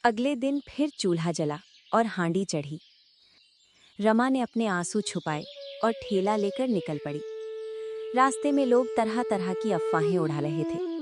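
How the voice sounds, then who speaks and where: A woman narrating a cartoon moral story in a measured storytelling manner. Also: medium pitch, animated, noisy background.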